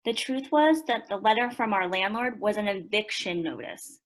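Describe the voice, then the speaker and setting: Radio play daughter and narrator, actress: earnest teen girl, medium-pitched voice. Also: normal speed, lightly acted. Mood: reflective storytelling. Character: earnest teenage daughter who narrates the family story.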